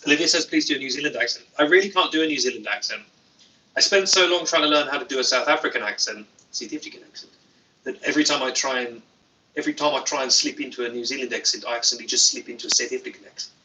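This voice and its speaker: A man hosts a relaxed livestream, reading comments with deadpan, playful humor. His voice is very bright and sharp, at a middle pitch, picked up a little away from the mic. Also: racing, far from the mic, a little hiss or hum, rough recording. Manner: deadpan, playful, jokey asides. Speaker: YouTuber livestream host.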